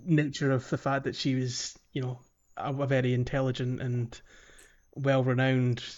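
A man co-hosting a podcast, talking casually about a video game in a halting way, searching for words. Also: mid-range voice, monotone, darkish tone, muffled recording. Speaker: podcast co-host.

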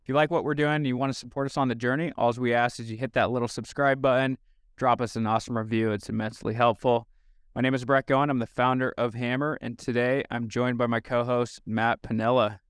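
Upbeat male podcast host, smooth dry voice. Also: mid-range voice, little pitch movement, fast talker, no echo. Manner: upbeat, enthusiastic, with fillers and restarts. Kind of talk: casual podcast chat.

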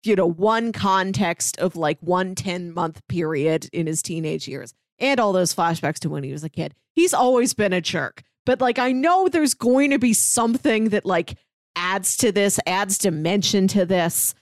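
A woman's voice: medium pitch, measured pace, no echo, studio quality. A woman chatting casually as a podcast panelist, peppering her talk with "like". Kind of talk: casual animated-series discussion.

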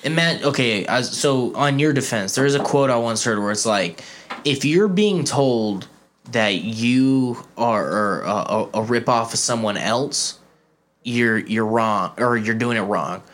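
A man's voice: mid-range voice, a little slow, fairly bright tone, slight background noise. A young man with a nasal voice hosting a casual podcast, rambling and swearing freely, often trailing off and starting over. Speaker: podcast host.

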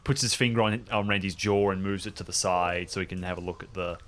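Male tabletop player, slightly low voice, casual halting narration of character actions. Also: flat delivery, fairly bright tone. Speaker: tabletop role-play player.

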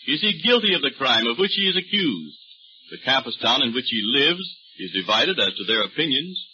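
A man's voice: on the high side, sharp tone. Emphatic old-time radio announcer man. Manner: crisp, emphatic promotional delivery. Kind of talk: scripted sponsor announcement. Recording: dull old radio recording.

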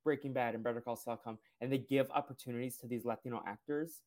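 A man in his twenties hosts a podcast in easygoing conversation. His first language is Portuguese. He speaks at a middle pitch and rambles loosely through his points. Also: quick pace. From Brazil. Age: 20s. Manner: relaxed, rambling, many fillers. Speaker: podcast host. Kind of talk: casual interview chat.

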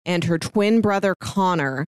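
A woman's voice: fairly low pitch, a little slow, clear, resonant tone, dry recording. Woman podcaster with a dry, close sound. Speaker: podcast co-host. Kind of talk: casual review and plot recap.

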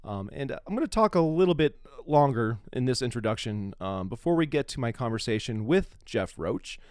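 American man hosting a podcast, lively intonation. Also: mid-range voice, normal speed, smooth, no room sound. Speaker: podcast host. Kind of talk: casual podcast introduction.

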